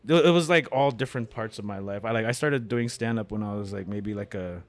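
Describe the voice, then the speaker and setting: Rambling middle-aged man, low voice. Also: fast. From Canada, born in Winnipeg. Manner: rambling, many fillers and restarts. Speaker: guest, comedian and beatmaker. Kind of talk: casual interview conversation. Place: cafe.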